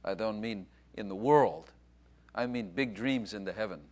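A pastor preaches with a somewhat low voice and an urgent, exhorting delivery. He leans on repeated phrases to drive his points home. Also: normal speed. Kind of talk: sermon.

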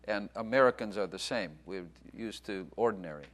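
A man's voice: fairly low pitch, a little fast, fairly bright tone. A man, a pastor hosting the service, preaching urgently with repeated phrases and introducing his guest. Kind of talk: sermon.

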